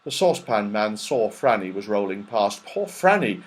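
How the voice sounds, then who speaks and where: A man narrating a children's storybook in an expressive, dramatic, animated way. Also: fairly low pitch, normal speed. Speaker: audiobook narrator.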